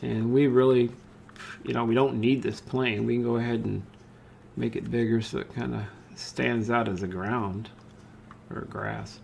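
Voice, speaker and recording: A man narrating a step-by-step software tutorial in an informal way, thinking aloud as he demonstrates. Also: mid-range voice, flat delivery, measured pace, gravelly, a little hiss or hum.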